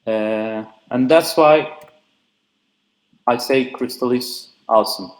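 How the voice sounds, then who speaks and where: A man's voice: mid-range voice, takes it slowly. Chatty man giving a remote talk. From Turkey. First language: Turkish. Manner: frequent "uh" fillers, loose and chatty. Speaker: conference speaker. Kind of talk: casual technical talk. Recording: echoey remote call audio.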